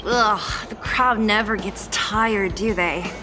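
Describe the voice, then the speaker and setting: A woman's voice: mid-range voice, slow pace, raised voice, music in the background, natural voice. An actress playing a tough, blunt young woman in a video game, casual and dryly reluctant. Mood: dry, unimpressed. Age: young adult. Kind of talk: video game dialogue acting.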